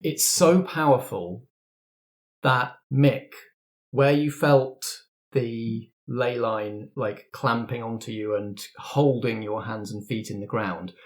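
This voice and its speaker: A man's voice: mid-range voice, slow. A man improvising descriptive narration as the game master of a tabletop roleplaying game, addressing players directly.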